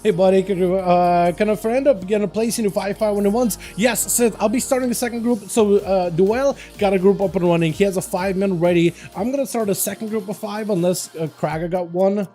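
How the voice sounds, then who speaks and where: A high-voiced young man from Russia talks loosely through a headset mic, full of fillers and restarts. His tone is mellow and relaxed. Faint music plays underneath. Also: brisk, few pauses. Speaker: streamer. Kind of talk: casual livestream chat.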